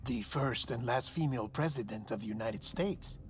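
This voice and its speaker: An actor playing a courteous, officious doctor in a cinematic game, speaking in a formal, explanatory way. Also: male voice, mid-range voice, moderate pace, natural voice. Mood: solemn, polite.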